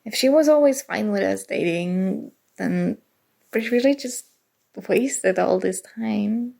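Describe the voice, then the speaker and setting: A woman's voice: mid-range voice, slow, vocal fry, mellow. A woman acting as a nerdy, flustered college student in an intimate ASMR roleplay, speaking hesitantly and emotionally with stammers. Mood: resigned, shyly hopeful. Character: nerdy, flustered young female college student confessing a crush.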